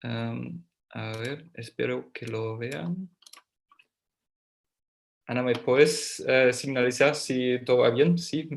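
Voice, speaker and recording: Gravelly man speaking hesitant second-language Spanish. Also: mid-range voice, takes it slowly, pauses often, slight background noise. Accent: Argentine Spanish, learned as a second language. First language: not Spanish. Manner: hesitant, with many fillers, searching for words. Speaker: company founder, guest speaker.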